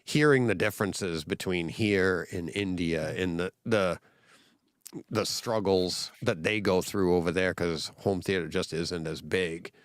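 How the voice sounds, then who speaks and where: Rambling low-pitched podcast host man. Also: a little slow, dry recording, clean studio sound. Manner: rambling, animated, laughing easily. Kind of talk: casual chat.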